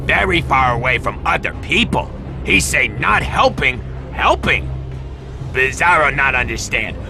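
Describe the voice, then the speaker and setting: Casting: dim, earnest cartoon superhero clone, gravelly and swooping, broken caveman grammar, music behind. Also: mid-range voice. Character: backwards-talking superhero clone, slow-witted, earnest, clumsy. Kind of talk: cartoon video game narration and dialogue.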